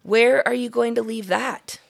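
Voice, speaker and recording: A woman's voice: fairly high pitch, a little slow, vocal fry, pitched above the performer's own voice, full character voice. A woman voicing an eager, cheeky young male mouse in a storybook, pleading and arguing in a boyish way.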